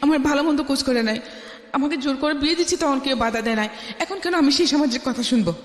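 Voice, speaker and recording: A woman's voice: high-pitched, fast. A middle-aged woman with a Bangladeshi accent tells her life story as a radio interview guest, emphatically, acting out remembered dialogue. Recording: echoey room with long reverb.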